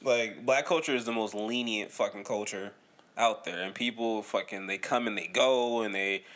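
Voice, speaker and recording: A young man co-hosting a podcast, talking casually with frequent profanity and loud laughter. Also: lowish voice, moderate pace. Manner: profane, laughs loudly, animated joking. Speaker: podcast co-host.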